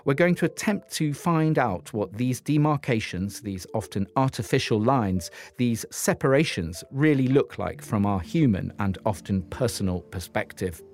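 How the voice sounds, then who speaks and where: A man hosting a podcast, reading scripted narration in a measured way, then interviewing a guest. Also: lowish voice, darkish tone. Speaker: podcast host.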